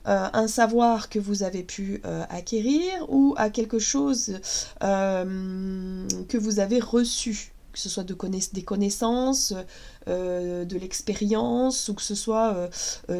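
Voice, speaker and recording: A woman's voice: medium pitch, darkish tone. A woman in her thirties with a cold, chatting casually and hesitantly through a tarot reading. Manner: chatty, hesitant with many fillers. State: has a cold, voice cracking. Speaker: tarot reader.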